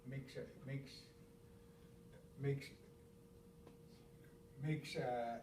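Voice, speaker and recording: Halting Stoney-speaking man teaching, roomy and distant. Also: mid-range voice, fairly even intonation, warm tone, slight background noise. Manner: repeats words, counts aloud, halting restarts. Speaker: elder teacher. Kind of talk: informal spoken teaching.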